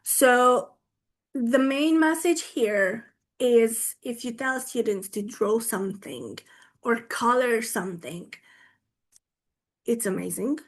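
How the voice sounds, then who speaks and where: Slow-speaking woman, Hungarian native. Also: a little high. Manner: slow, deliberate, often checks in with "right?". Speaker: conference speaker, language teacher. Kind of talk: online conference talk.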